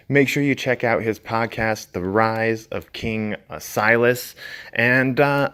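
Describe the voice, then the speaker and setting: A man's voice: mid-range voice, dry recording. A man hosting a podcast interview in a casual, laid-back conversational way. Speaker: podcast host.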